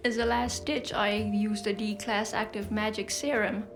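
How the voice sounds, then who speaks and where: A woman's voice: mid-range voice, flat delivery, a little slow, faint music behind, natural voice. A woman playing a coolly fascinated scientist-healer in an audio drama, speaking in an articulate, clinical, lecturing way. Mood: clinical, intrigued.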